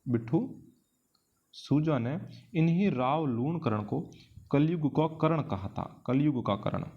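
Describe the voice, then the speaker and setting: A man's voice: a little high, a little slow, slightly rough, dull audio. A man with an Indian accent teaching an exam-prep lesson in question-and-answer form, didactically repeating key phrases for emphasis. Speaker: history teacher.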